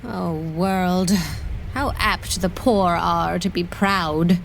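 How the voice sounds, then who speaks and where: A woman playing a proud noble lady in love in a Shakespeare audio play, speaking in a measured, aristocratic manner. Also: lowish voice, fairly even intonation, gravelly, a little hiss or hum, heavily acted. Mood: wounded pride.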